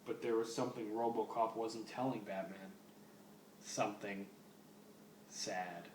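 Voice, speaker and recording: A man's voice: on the low side, fairly even intonation, measured pace. A man giving a comic dramatic reading of fan fiction, amused and apt to break off to comment. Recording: echoey room, distant mic, faint noise.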